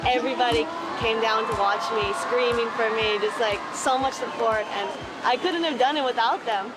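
A young woman surfer from Hawaii, talking excitedly and casually, full of "like". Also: fairly high pitch, very noisy. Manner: excited, fast casual chat with many "like"s.

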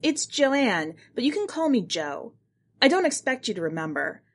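A woman voicing a chatty, gossipy small-town realtor cousin in a story reading, telling tales in an animated way. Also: sits high, moderate pace, voice pushed up. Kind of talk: acted dialogue in a short story reading.

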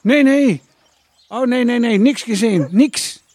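A man's voice: very high voice, takes it slowly, a little mellow, a little hiss or hum. A man playing a cautious, protective Dutch village father in a wartime audio drama, nervous and urgent. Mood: alarmed, protective.

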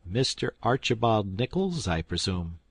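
A man voicing a cheeky, smooth-talking teenage boy who acts overly polite and innocent. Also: on the low side, sing-song, a little slow, no room sound, full character voice.

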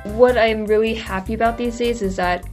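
A woman's voice: fairly high pitch, steady tone, normal speed. A woman who runs a beauty company, speaking thoughtfully in an interview with some fillers. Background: soft background music.

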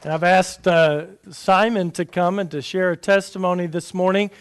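High-voiced pastor praying. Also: male voice, steady tone, moderate pace, slight background noise. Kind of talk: pulpit prayer and announcements.

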